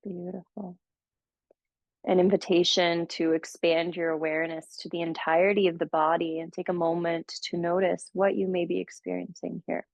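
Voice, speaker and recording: A woman guiding a meditation, speaking slowly and gently with soothing pauses. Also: lowish voice, level tone, gravelly, no room sound. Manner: slow, gentle, soothing guidance with long pauses. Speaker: meditation teacher. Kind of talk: guided meditation. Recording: dull, muffled audio.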